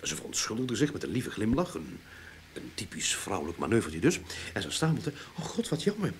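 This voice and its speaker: An actor playing a talkative, enthusiastic sleuth in a radio drama, explaining things eagerly in a husky voice with emphatic repeats. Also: male voice, lowish voice, wildly swooping pitch, a little fast, a little hiss or hum. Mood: triumphant explaining. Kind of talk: Dutch radio drama acting.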